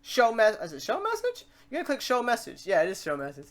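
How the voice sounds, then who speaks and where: A woman hosting a casual software tutorial, talking through each step aloud in an informal, playful way. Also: on the high side, wildly swooping pitch, fast. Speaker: tutorial host.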